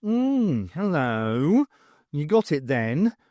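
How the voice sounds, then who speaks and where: A sly storybook vizier, acted by a man, talks in an oily, casual way. The voice is high and swoops about expressively. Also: sing-song, drawn-out words, dark tone, no echo, studio quality, heavily acted. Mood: gleeful. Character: grinning pantomime vizier villain, sly and cheeky.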